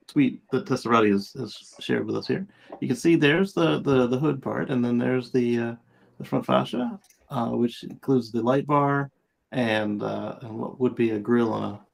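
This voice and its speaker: A man with a creaky, fry-laden voice and average pitch gives casual commentary as a co-host. His delivery is conversational and somewhat halting, as if thinking aloud. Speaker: show co-host.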